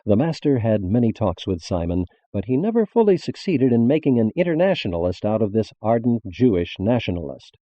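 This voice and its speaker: Low, mellow male narrator with lively intonation. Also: no echo, muffled recording. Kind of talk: scripted audiobook-style narration of religious text.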